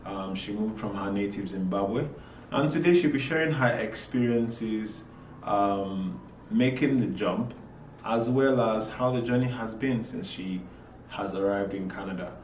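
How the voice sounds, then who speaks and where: A man's voice: lowish voice, a little mellow, slight background noise, rough recording. Polite male interview host. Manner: measured, polite, with frequent ums. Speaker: podcast host. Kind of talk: conversational interview.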